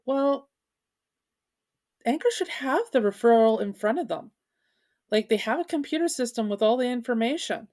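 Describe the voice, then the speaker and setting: A woman's voice: a little high, expressive, normal speed, pauses often, a little mellow. A woman giving informal, argumentative commentary, speaking emphatically and posing rhetorical questions. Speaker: video commentator, parent advocate.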